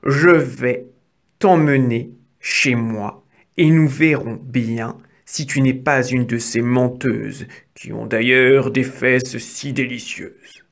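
A storyteller voicing a greedy, menacing male ogre wolf who draws out his threats slowly. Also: medium pitch, fairly even intonation, voice pushed down. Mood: suspicious, threatening.

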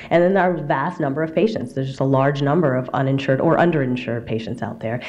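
Woman doctor, low voice, quick conference lecture at a lectern mic, fillers and self-corrections. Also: a little mellow. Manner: fast, explanatory, with frequent fillers and restarts. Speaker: physician presenter. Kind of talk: conference slide presentation. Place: conference room.

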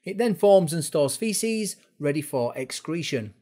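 A man's voice: on the high side, animated, a little slow, mellow. A man narrating an educational explainer as an instructor, going through it step by step in informal words. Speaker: fitness instructor presenting a course.

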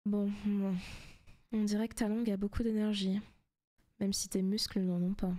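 Soft, teasing demon girlfriend acted by a woman. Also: medium pitch, little pitch movement, moderate pace, natural voice. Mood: taunting, possessive. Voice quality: soft, often breaking into whispers. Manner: teasing, coaxing, possessive. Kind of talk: intimate ASMR roleplay.

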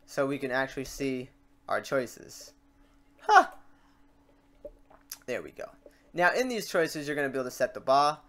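A man's voice: medium pitch, animated, normal speed, long pauses, fairly bright tone, a little hiss or hum. Casual man rambling through a tutorial. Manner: rambling, talks through mistakes as he works. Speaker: tutorial host. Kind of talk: casual screen-recorded software tutorial.

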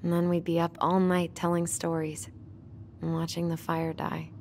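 A woman's voice: on the low side, monotone, normal speed, creaky, a little mellow, a little hiss or hum. An actress playing a guarded, wry young American woman in a video game, talking in a subdued, dry way. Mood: subdued, bitter. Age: young adult. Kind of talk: naturalistic video game dialogue.

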